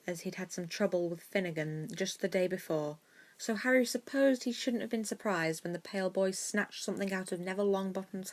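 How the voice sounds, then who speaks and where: A woman reading scripted fiction as a story narrator. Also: on the low side.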